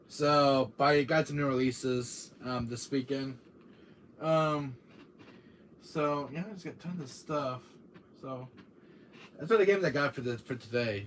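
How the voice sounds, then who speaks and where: A man with a deep voice hosting a casual gaming live stream, rambling with many fillers while talking to his chat, tired from a cold. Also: fairly bright tone, a little hiss or hum. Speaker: gaming channel host.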